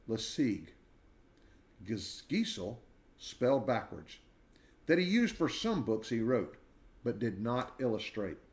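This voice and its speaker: A man in his sixties reading a children's biography aloud in a measured, expressive storyteller's way, with dramatic pauses. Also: mid-range voice. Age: 60s. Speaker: read-aloud narrator.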